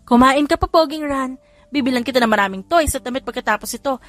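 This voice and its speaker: A woman voicing a bubbly, excitable young aunt in an audiobook, gushing and exclaiming as she mixes English into Tagalog. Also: high-pitched, quick pace, dry recording, close to an everyday voice. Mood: cheerful. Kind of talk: audiobook character voice.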